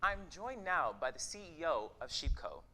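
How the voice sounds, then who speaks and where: A man's voice: fairly high pitch, sing-song, normal speed, bright, forceful tone, slight background noise. A man in a staged reading playing a pushy news interviewer who asks insistent, probing questions. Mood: formal, probing.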